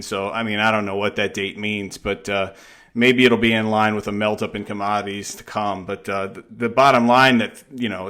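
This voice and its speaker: A man interviewing on a financial podcast in a conversational, somewhat halting way, feeling out his points as he goes. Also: fairly low pitch, a bit bright.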